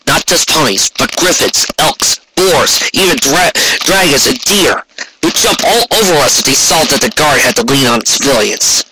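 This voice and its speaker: A man's voice: a little high, lively intonation, moderate pace, background noise. A man voicing a weary, wounded male pony guard captain, proud and dutiful, speaking in a tired, firm and terse way. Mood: strained, dutiful.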